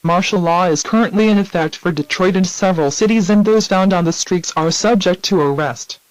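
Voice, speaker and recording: Male emergency announcer, high pitch, formal scripted read, close dry audio. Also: brisk, no room sound, low-quality recording. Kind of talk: scripted emergency bulletin reading.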